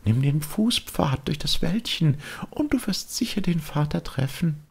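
A man voicing a gentle, teasing young fairy woman in a storybook reading, soft, patient and sisterly, with a light breathy voice. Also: fairly high pitch, expressive, unhurried, pitched above the performer's own voice, heavily acted. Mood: tender. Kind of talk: audiobook storytelling.

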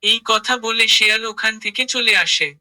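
Very bright-voiced children's story narrator. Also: medium pitch, flat delivery, moderate pace, low-quality recording.

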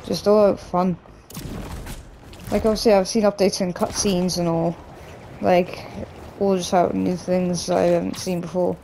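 A man with a hoarse, croaky voice from a cough, casually rambling through gameplay commentary as a gaming YouTuber. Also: high-pitched, flat delivery, unhurried, mellow, slight background noise, poor audio. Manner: rambling, loose, with many restarts and "like".

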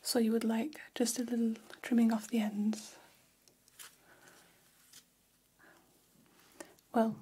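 A woman playing a gossipy, attentive female vampire hairstylist in a gentle, unhurried, breathy whisper for an ASMR roleplay. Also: a little high. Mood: soft, attentive. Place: small decorated room, indoors.

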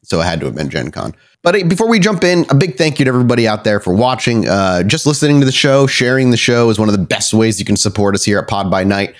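A man hosting and narrating a tabletop roleplaying game, often halting and correcting himself as he goes. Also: lowish voice. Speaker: podcast host and game storyteller.

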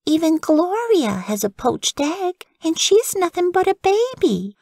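A woman's voice: very high-pitched, big pitch swings, a little slow, darkish tone, no echo, voice pushed up, full character voice. A woman voicing a young badger girl in a storybook, plaintive and stubborn, childlike and given to little songs. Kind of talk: storybook character voice.

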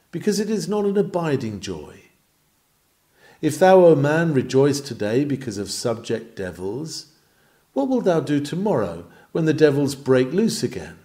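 A man's voice: medium pitch, mellow. A man reading an old Victorian sermon aloud in an earnest, declamatory, rhetorical manner. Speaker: reader of sermons.

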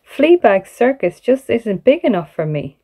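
A woman's voice: a little high, unhurried, heavily acted. A woman voicing a small, boastful, eager male strongman flea in a children's storybook. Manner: boastful, excitable. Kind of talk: children's storybook character reading.

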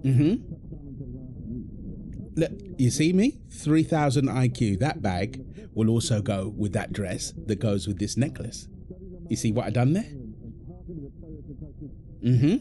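Man acting an animated, doting young-love husband. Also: mid-range voice, slow, long pauses, noisy background. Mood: playful. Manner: teasing, affectionate, exclamatory. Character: playful, doting husband apologizing to his pregnant wife. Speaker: audio roleplay performer. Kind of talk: intimate boyfriend-style audio roleplay.

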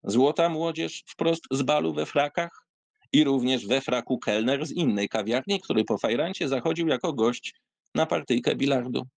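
A man giving an expressive, theatrical reading of a literary essay, with wry asides and sighs. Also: medium pitch, moderate pace, creaky, no room sound. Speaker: audiobook reader.

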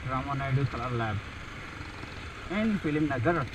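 A young man with an Indian English accent, chatting casually about landmarks as he rides a motorcycle through city streets. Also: medium pitch. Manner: relaxed, pointing out landmarks with pauses and fillers. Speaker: motorcycle vlogger. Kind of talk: casual guided-tour narration while riding. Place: riding a motorcycle on city roads, outdoors. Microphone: action camera mounted on the bike. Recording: wind and traffic noise on an action camera.

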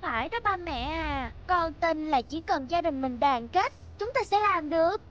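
Cartoon little girl, earnest, sweet, high-pitched, slightly muffled recording. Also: expressive, moderate pace, fairly bright tone. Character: earnest, hopeful little daughter of hero family.

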